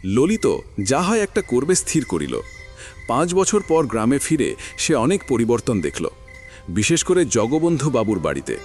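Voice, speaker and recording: Male narrator, expressive story reader, lively intonation. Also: medium pitch, moderate pace, faint music behind. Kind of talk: scripted literary narration.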